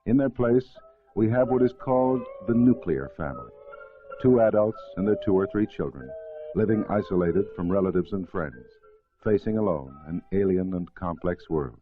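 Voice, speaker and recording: A deep-voiced man delivers formal, measured narration for an educational film. The soundtrack is thin and narrow like vintage film audio, with music playing faintly underneath. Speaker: documentary narrator.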